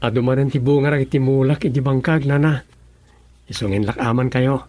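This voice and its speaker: A man's voice: mid-range voice, fairly even intonation, a little fast, darkish tone, faint music behind, close to an everyday voice. A man playing a patient, forgiving young farmer in an Ilocano radio drama, speaking earnestly and plainly. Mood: gentle, wounded.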